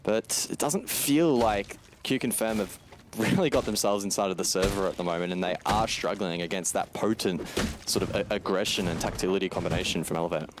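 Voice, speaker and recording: A man's voice: deep voice, brisk. A man giving live commentary and analysis on a shooter match in an analytical, emphatic way. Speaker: esports commentator. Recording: clear noise with game gunfire behind. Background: game gunfire and clicks.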